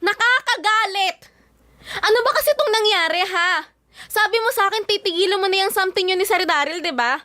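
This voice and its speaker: A woman's voice: extremely high pitch, brisk, sharp tone, no room sound, studio quality. A woman playing an emotional, flustered young office worker with a Filipino accent in an audio drama. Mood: upset, exasperated. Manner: pleading, emotional, indignant.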